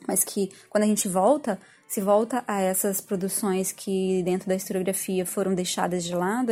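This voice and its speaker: Mellow Brazilian woman commenting. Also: medium pitch. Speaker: podcast commentator. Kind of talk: informal spoken commentary.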